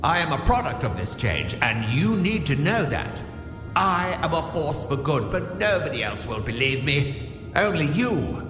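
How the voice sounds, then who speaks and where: An actor playing a mysterious, earnest altered double in an audio drama, speaking cryptically. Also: male voice, a little high, sing-song, unhurried. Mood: earnest, cryptic. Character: mysterious, earnest altered version of another man. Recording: echoey hall reverb, music behind.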